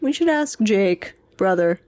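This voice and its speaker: A woman's voice: mid-range voice, lively intonation, a little slow, darkish tone. A woman in her twenties co-hosting a podcast, chatting in an informal, reactive, blunt way. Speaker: podcast co-host, English PhD student. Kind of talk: casual chat.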